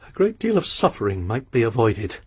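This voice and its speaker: A man's voice: mid-range voice, big pitch swings, a little fast, slightly rough, no room sound. An actor playing a troubled, sermonizing male lodger with a formal manner in a radio drama. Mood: brooding. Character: sinister pious male lodger, troubled and brooding.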